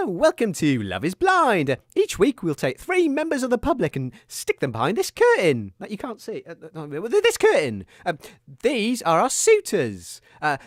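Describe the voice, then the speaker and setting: A man's voice: sits high, dry recording, clean studio sound. A man co-hosting a comedy radio show, polite and flustered, stammering hesitantly.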